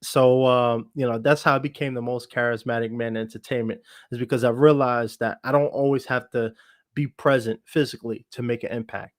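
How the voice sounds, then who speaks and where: A man with a medium-pitched voice talks candidly as a podcast guest. He sounds self-assured and reflective, wandering a little as he tells his own story. The sound is dry, with little room echo. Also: fairly even intonation, brisk. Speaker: podcast guest, actor and entertainer. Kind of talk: candid casual conversation, telling their own story. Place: online video call for a livestream podcast.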